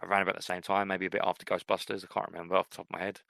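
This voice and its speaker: Middle-aged man, podcast co-host, low gravelly voice, relaxed rambling chat, dry close sound. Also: rapid-fire, dry recording. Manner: relaxed, rambling, frequent fillers. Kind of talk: casual chat.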